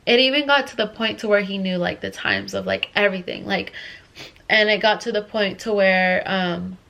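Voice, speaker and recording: A woman's voice: mid-range voice, sing-song, moderate pace, vocal fry, fairly bright tone. Congested young woman rambling through a story. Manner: rambling, filler-heavy, restless. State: congested from a cold. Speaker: vlogger. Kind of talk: casual storytime.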